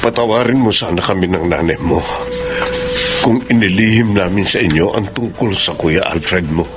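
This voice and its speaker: A man's voice: low voice, normal speed, very noisy, lightly acted. A man acting a remorseful middle-aged father, pleading and solemn, in a Filipino radio drama.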